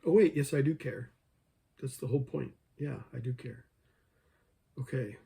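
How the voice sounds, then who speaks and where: A man who is losing his voice, hoarse, thinking aloud over an online chess game and joking with himself as he plays. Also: mid-range voice, normal speed, very noisy. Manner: mutters his thoughts, talks to himself, jokes self-deprecatingly. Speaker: chess video creator.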